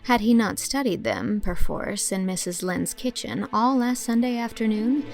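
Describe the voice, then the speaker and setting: Female storybook narrator, mid-pitched with noticeable vocal fry, dramatic scripted reading, faint music underneath. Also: expressive, moderate pace. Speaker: audiobook narrator.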